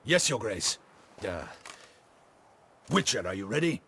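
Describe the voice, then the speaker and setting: An actor playing a dutiful, cautious captain of the guard in a fantasy game, formal and deferential. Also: male voice, lowish voice, big pitch swings, a little slow, pushed and bright, husky, exaggerated voice. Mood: concerned.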